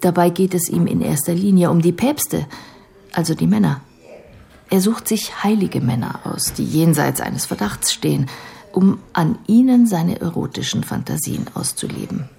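A composed care professional in a radio play speaks in a fairly low, very mellow female voice with a lot of creak. She explains things in a measured, analytical manner, with music in the recording. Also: exaggerated voice. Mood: calm, reflective. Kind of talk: radio drama dialogue.

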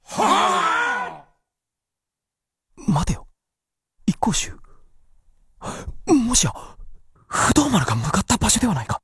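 A woman's voice: on the high side, wildly swooping pitch, slow pace, long pauses, fairly bright tone, husky, dry recording, studio quality, heavily acted. A woman playing an agitated young onlooker in a Japanese period radio drama, muttering with sudden outbursts. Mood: alarmed.

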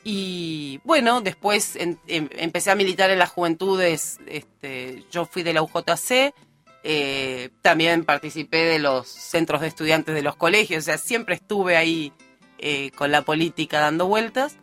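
A woman's voice: low voice, unhurried, fairly bright tone, soft background music. A woman in her early forties with a Rioplatense Spanish accent, giving a casual, digressive account of herself as a radio interview guest. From born in Uruguay, raised in Argentina. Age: early 40s. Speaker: interview guest, political candidate. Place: radio studio.

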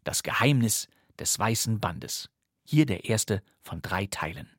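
A man's voice: lowish voice, lively intonation, measured pace, husky, dry recording. Dry-sounding male podcast host. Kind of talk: scripted introduction to a radio play.

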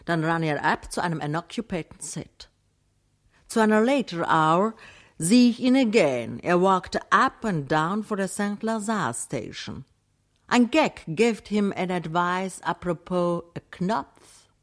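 Unhurried, lower-voiced woman storyteller. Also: expressive. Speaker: radio play reader. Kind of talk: literary recital mixing German and English.

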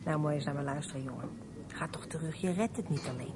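Actress doing a brisk no-nonsense motherly lady in a radio play, bit of ambient noise behind. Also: sits low, natural voice. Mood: blunt, probing. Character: blunt, motherly stranger advising a runaway child. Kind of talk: Dutch radio play acting.

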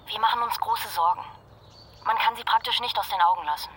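A woman's voice: mid-range voice, fairly quick, fairly bright tone, a little hiss or hum, natural voice. An actress playing a worried, reproachful female relative on the telephone in a radio drama.

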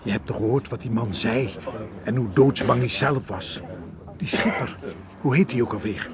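A man's voice: medium pitch, normal speed, natural voice. An actor in a radio play playing a worried, cautious man who argues earnestly and insistently. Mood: alarmed. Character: worried, cautious male friend urging sense. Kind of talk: radio drama acting. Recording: radio play with crowd murmur behind.

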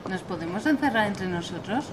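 A woman's voice: mid-range voice, animated, brisk, close to an everyday voice. A woman playing a cheeky, unfazed new schoolgirl prefect in an amateur radio play. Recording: very noisy home recording.

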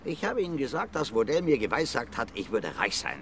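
An actor playing a surly, insolent crime suspect in a radio drama, irritated and defiant. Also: male voice, mid-range voice, sing-song, fairly quick, fairly bright tone, slight background noise. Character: surly, defiant male suspect, rough working-class son.

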